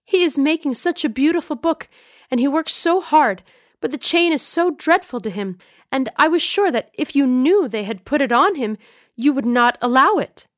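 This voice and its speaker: A woman's voice: high voice, animated, moderate pace, dry recording, clean studio sound, voice pushed up. A woman voicing an earnest little boy who pleads breathlessly. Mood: pleading.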